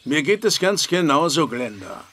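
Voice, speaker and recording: A man's voice: a little high, very animated, normal speed, bright, forceful tone, a little hiss or hum. An actor playing a worried, sceptical but caring family man in a German audio drama, with a terse, direct manner. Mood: concerned.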